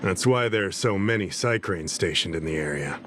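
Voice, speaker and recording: Breezy, animated, low-voiced official. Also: male voice, moderate pace, noisy background. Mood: explanatory. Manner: talkative, breezy, expressive. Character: boisterous, absent-minded male detective-bureau official. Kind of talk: video game voice acting.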